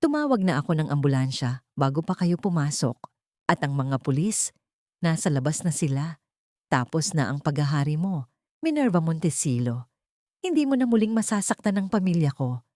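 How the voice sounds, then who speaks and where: Anguished father, resolute and pleading, mellow and dry female narrator acting him out. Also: low-pitched, big pitch swings, normal speed, no room sound. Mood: defiant. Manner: emotional, pleading, dramatic. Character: anguished man in wheelchair, remorseful father, fiercely resolute.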